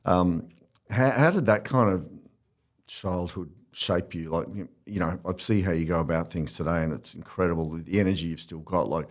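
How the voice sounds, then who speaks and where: A man's voice: low voice, moderate pace. A man, hosting an interview and asking loose, rambling questions. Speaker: podcast host.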